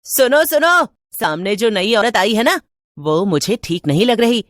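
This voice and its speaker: Cartoon housewife played by a woman: nosy, excitable gossip, pitched up, dry studio sound. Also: measured pace, no echo. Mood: gossipy. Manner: eager, prying, chatty. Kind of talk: Hindi cartoon storytelling.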